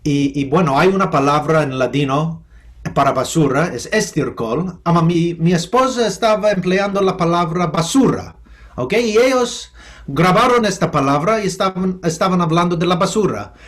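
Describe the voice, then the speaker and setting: A man with a fairly high voice and an American English accent. He is a professor being interviewed, and he picks his words with care, stopping and starting often. English is his first language. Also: expressive, fairly bright tone. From United States. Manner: halting, thoughtful, with many restarts and fillers. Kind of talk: remote interview.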